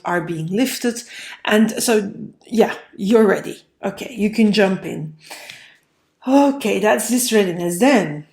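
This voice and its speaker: A woman's voice: mid-range voice, a little mellow. A woman giving a casual tarot reading to viewers in a rambling, conversational way, repeating phrases for emphasis. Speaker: tarot reader, host. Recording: roomy, echoey room sound.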